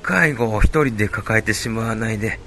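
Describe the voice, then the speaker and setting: A man playing a kindly, concerned retired stroke patient in an amateur radio drama, with gentle turns of phrase. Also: lowish voice, normal speed, a little hiss or hum.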